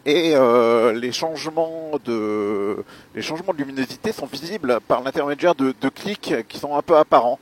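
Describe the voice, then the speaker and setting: A man giving a spoken gear review as a motovlogger, explaining things in a measured, hesitant way with pauses. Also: medium pitch. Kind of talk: spoken product review while riding.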